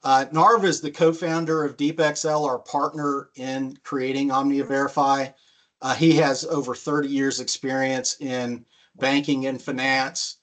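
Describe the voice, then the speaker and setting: A man hosting a business webinar, introducing the presenters in a brisk, friendly way with frequent "um" fillers. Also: medium pitch, level tone, a bit bright. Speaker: webinar host.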